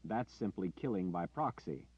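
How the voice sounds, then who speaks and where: A man doing a commanding warrior leader, pressing his point with hard emphasis in a lowish voice. It's a dry, dull-sounding recording. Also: expressive, moderate pace, husky, no echo. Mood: urgent. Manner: forceful, pleading, emphatic. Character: commanding male warrior leader, intense and urgent.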